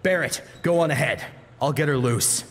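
A man's voice: medium pitch, normal speed, big-room sound, pitched above the performer's own voice, close to an everyday voice. A man voicing a cool, decisive young male sword fighter in an audiobook. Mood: urgent.

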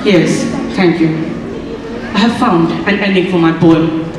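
A man's voice: high voice, steady tone, unhurried. A young man acting an earnest, idealistic poet in a school stage play, speaking in a lofty, declamatory way. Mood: musing. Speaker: student actor. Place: large auditorium stage. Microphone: far camera. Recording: echoey hall from far off, music.

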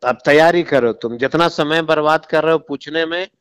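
A man's voice: on the high side, moderate pace, smooth. A man, a government official on a phone call, lecturing in an impatient, condescending way. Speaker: government minister taking a call. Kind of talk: phone conversation. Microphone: telephone call recording.

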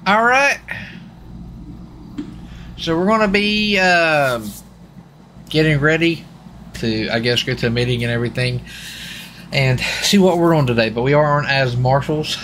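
A man's voice: on the high side, measured pace, long pauses, fairly bright tone, slight background noise. A man chatting casually and informally to viewers as he streams a game, in a folksy way. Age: adult with young children. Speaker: live streamer. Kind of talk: casual live stream chat while gaming.

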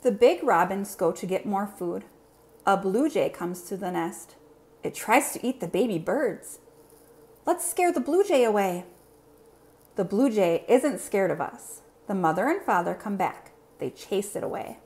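A woman reading a children's picture book aloud in an expressive, simple storytelling manner. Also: medium pitch, moderate pace. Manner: clear, simple, expressive delivery for young children.

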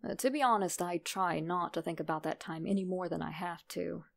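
A woman narrating a horror story in a measured, deliberate, dramatic way. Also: lowish voice, creaky.

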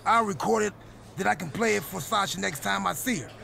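An actor playing a goofy, excitable male wrestler in a video game cutscene, talking in an animated, playful, jokey way. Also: high-pitched, fairly quick, creaky, faint music behind, heavily acted.

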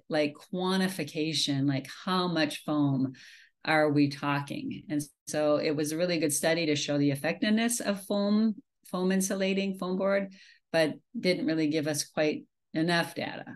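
A woman from Minnesota giving a slide presentation on a video call, talking casually with many fillers and restarts. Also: low voice. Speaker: beekeeping presenter. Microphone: webcam on a video call.

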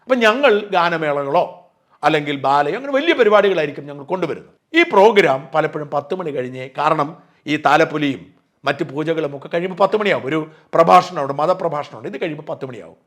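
A man's voice: a little high, expressive, fast, a bit bright. A middle-aged man from Kerala hosting an online news channel, giving emphatic, argumentative opinion commentary drawn from his own experience.

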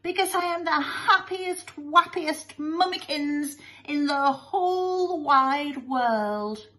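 A woman voicing a doting, weepy storybook mother who gushes in drawn-out, melodramatic baby talk. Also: high-pitched, sharp tone, slight background noise, pitched above the performer's own voice, full character voice. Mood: tearful, gushing. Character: gushing, sickly-sweet, weepy doting mother.

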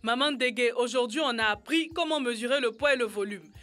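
A woman's voice: a little high, fairly quick, a bit bright, soft background music, close to an everyday voice. A woman voicing an earnest, curious little boy in a children's cartoon, asking things politely. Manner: inquisitive, polite.

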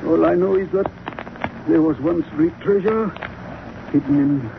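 An actor playing an evasive, polite Egyptian importer in a 1940s radio drama, with clipped, formal phrasing. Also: male voice, a little high, unhurried, creaky. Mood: frightened, defensive. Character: evasive, nervous male Egyptian importer, obsequious shopkeeper. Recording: muffled, very noisy old radio recording.

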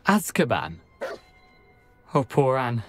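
A man's voice: mid-range voice, very animated, takes it slowly, soft background music. A man with a British accent playing a genteel, wry, theatrical young ghost in a video game cutscene. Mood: dismayed, wistful. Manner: theatrical, courtly, wordy.

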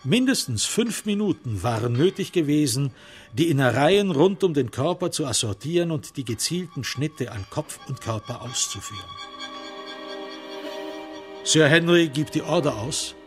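A man narrating a scripted crime radio drama in a measured, literary and factual way. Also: on the high side, lively intonation, soft background music. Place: studio.

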